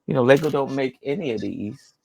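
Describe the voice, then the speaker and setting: A man's voice: mid-range voice, brisk, creaky, a little mellow, slightly rough, rough recording. A man co-hosting a podcast, telling a rambling story full of fillers and laughter. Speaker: podcast co-host. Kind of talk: casual chat.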